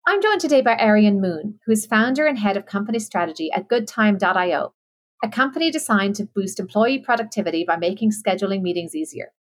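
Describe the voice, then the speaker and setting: Polite, measured woman podcast host. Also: medium pitch, lively intonation. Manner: measured and polite, with a formal introduction. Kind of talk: scripted intro and interview questions.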